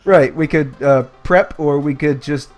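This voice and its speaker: A man hosting a casual group read-aloud, reading passages and breaking off into joking, halting asides. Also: on the high side, highs cut off. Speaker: host reading a book aloud on a livestream. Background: music playing faintly.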